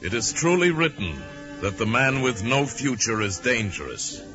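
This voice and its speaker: A male actor with an American accent playing a calm, courteous young crime-fighting mystic hero in a 1940s radio drama. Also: medium pitch, normal speed, close to an everyday voice. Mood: solemn, dramatic. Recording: dull vintage radio recording, music.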